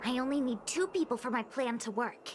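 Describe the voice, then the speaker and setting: Woman as a cheeky, lively young slacker girl. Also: fairly high pitch, fairly quick, noisy background, close to an everyday voice. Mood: confident, bossy. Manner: casual, confident, playful. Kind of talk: anime-style game cutscene acting.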